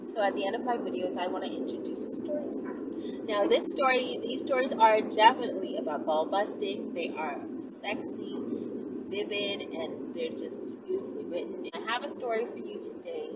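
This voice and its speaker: A woman's voice: mid-range voice, moderate pace. A woman chatting casually to her viewers as the host of her own channel. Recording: distant mic, loud ambient vehicle noise.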